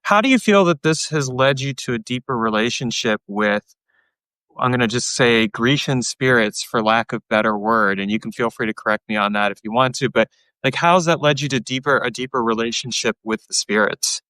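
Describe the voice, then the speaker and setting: Give me a male podcast host with a bright, forceful tone, thinking aloud in halting phrases. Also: medium pitch, clean tone, no room sound, poor audio. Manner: thoughtful, halting, repeats words while searching. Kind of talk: casual interview talk.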